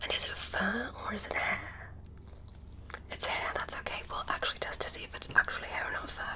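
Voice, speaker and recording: A woman voicing a curious alien examiner who tests a human, speaking in a soft, clinical ASMR whisper. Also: a little fast, natural voice. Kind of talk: ASMR medical roleplay.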